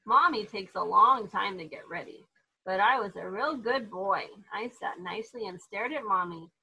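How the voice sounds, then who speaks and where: A woman voicing an innocent, excitable young male dog in a children's storybook, wide-eyed and childlike. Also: medium pitch, normal speed, creaky, husky, lo-fi sound, heavily acted. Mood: excited, happy.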